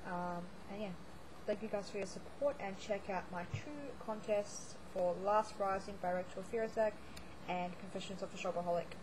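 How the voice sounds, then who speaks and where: A woman's voice: mid-range voice, steady tone, normal speed, background noise. A woman starting to lose her voice, rambling chattily through a reading wrap-up with lots of fillers and restarts. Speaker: book blogger. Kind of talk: casual monthly reading wrap-up vlog.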